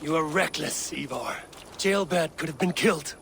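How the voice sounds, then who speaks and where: Commanding Viking warrior, terse, steady, slightly raised pitch, faint outdoor noise. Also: male voice, normal speed, gravelly. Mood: stern. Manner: terse, authoritative.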